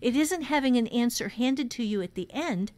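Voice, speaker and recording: A woman's voice: on the high side, expressive, normal speed, a little mellow. Woman giving emphatic conversational advice. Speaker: podcast co-host and novelist giving writing advice.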